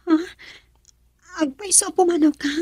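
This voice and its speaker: A woman's voice: very high voice, unhurried, mellow, no echo, studio quality. An actress playing a sweet, hesitant young student girlfriend who frets, in a Filipino radio drama with a Filipino accent. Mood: puzzled, surprised. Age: young adult.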